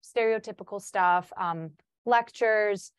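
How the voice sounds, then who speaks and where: A woman's voice: medium pitch, takes it slowly, clear, resonant tone, no echo, studio quality. A young woman from Chicago whose first language is Polish, a college senior talking chattily and upbeat as a podcast guest. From Chicago, United States. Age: young adult. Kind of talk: casual podcast conversation.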